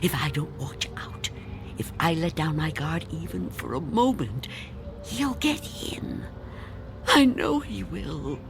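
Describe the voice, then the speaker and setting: A woman's voice: deep voice, animated, slow pace, vocal fry, music in the background, exaggerated voice. An actress playing a frightened, reclusive old woman afraid of death in a radio drama, tremulous and pleading. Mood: fearful.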